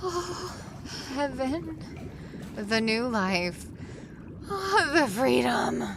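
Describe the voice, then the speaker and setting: A woman's voice: high-pitched, big pitch swings, drawn-out words, vocal fry, background noise, heavily acted. A woman playing a grateful, awestruck young newcomer to a futuristic city in an audio drama. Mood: blissful relief.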